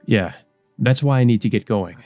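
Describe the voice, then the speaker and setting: Man playing resolute teenage boy, visual novel hero, earnest, measured delivery, soft dark tone, faint music behind. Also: medium pitch, dry recording, natural voice. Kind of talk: visual novel character reading.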